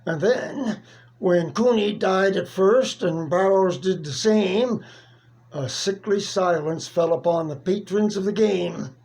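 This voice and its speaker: Poetry recitation, mid-range voice, unhurried, deliberate, theatrical, dramatic pauses. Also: mid-range voice, a little mellow. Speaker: poetry reader.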